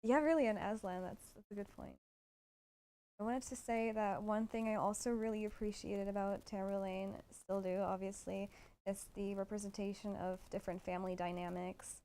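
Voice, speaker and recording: Medium-pitched woman chatting casually. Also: steady tone, moderate pace, dark tone. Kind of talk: casual chat.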